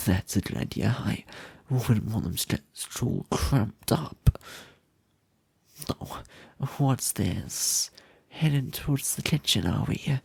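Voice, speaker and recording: An unhinged, lovesick male captor acted by a man murmurs in an intimate ASMR roleplay. His voice is extremely breathy and husky, often sinking to a whisper, and recorded very dry and close. He drags his words out slowly and haltingly, doting and threatening at once. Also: medium pitch, dry recording, exaggerated voice. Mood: mock-sweet, suspicious. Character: obsessive, menacing, lovesick yandere kidnapper, man.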